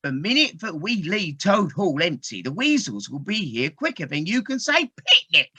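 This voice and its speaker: Woman as a low-voiced, earnest water rat gentleman. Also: sing-song, moderate pace, husky, dry recording, exaggerated voice. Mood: worried. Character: fretful, dutiful male water rat gentleman. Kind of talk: amateur audio play acting.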